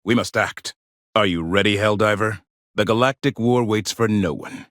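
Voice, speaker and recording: An actor playing a solemn, zealous military democracy officer in a video game, speaking in stern, formal, grandiose oratory. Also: male voice, on the low side, normal speed, fairly bright tone, no echo, clean studio sound, lightly acted. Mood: rousing. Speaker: military officer and mission briefer. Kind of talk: scripted video game voice acting.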